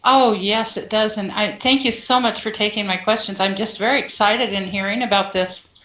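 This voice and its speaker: Lady phoning into a show with questions, casual talk, medium pitch, lots of echo. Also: fairly even intonation, normal speed, bright, forceful tone. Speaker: caller with questions. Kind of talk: casual call-in conversation. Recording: echoey call-in sound.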